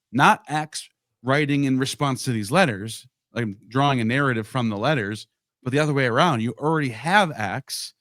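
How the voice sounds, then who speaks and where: A man's voice: medium pitch, fairly bright tone, dry recording, studio quality. A man in a casual podcast discussion, thinking aloud in bursts with frequent restarts. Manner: talks in bursts with many restarts. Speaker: podcast co-host or guest.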